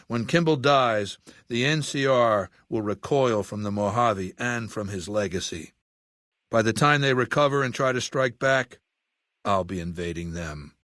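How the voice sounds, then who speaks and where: Imperious, cultured warlord, dryly recorded. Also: male voice, medium pitch, fairly bright tone, dry recording, studio quality. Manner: imperious, erudite, measured, coldly matter-of-fact. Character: ruthless, cultured warlord dictator in middle age. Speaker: video game villain. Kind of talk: dramatic game dialogue monologue.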